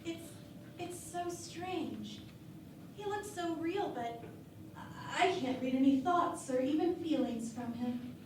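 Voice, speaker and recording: A woman's voice: high-pitched, expressive, slow. A woman playing a wondering, bluntly insulting ship's psychic in a comedic parody radio play. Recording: very echoey, distant mic, noisy.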